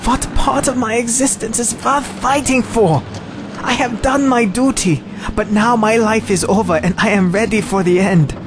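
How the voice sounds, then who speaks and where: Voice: mid-range voice, normal speed, lightly acted. An actor playing a bitter, fatalistic soul resigned to death in an audio drama, formal and contemptuous. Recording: vehicle engine noise behind. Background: idling vehicle.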